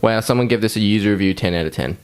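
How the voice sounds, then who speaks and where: A man's voice: on the low side, racing, clear, resonant tone. A man hosting a podcast in casual, sweary chat about films and video games. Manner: foul-mouthed. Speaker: podcast host.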